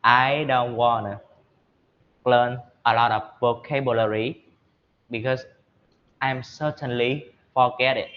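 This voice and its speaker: A man with a Vietnamese accent, an English learner doing speaking practice, halting and repeating phrases as he searches for words. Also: medium pitch, steady tone, highs cut off.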